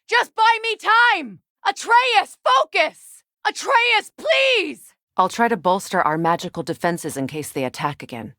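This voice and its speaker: A woman voicing a resolute, battle-worn sorceress and protective mother in a video game, with a measured, earnest and commanding delivery. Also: very high voice, fairly bright tone, dry recording, studio quality, heavily acted. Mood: urgent, shouting. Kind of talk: dramatic video game voice acting.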